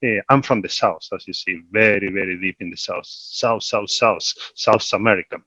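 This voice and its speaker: A man in his fifties from Argentina with an Argentine Spanish accent, an investment firm executive introducing himself in a relaxed, self-deprecating way on a video call. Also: fairly low pitch, moderate pace, breathy edge, muffled recording. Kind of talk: casual panel introduction. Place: home office in Los Angeles, video call.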